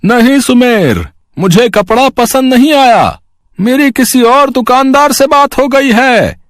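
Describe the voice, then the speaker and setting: High-pitched, drawling merchant. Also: male voice, expressive, takes it slowly, no echo, clean studio sound, heavily acted. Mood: dismissive. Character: rich male cloth buyer, curt and dismissive merchant.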